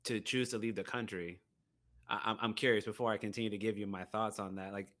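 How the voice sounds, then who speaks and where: Bright-toned low-voiced man hosting. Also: fairly even intonation, fast. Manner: affirming, asks considered questions. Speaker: podcast host. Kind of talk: conversational interview.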